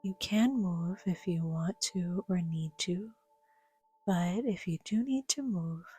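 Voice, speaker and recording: A woman speaks very slowly in a fairly low, soft voice that often drops close to a whisper. She guides a meditation gently and soothingly, leaving measured pauses between phrases. Faint music plays behind her. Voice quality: soft, breathy, half-whispered. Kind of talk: guided yoga nidra meditation, soothing narration.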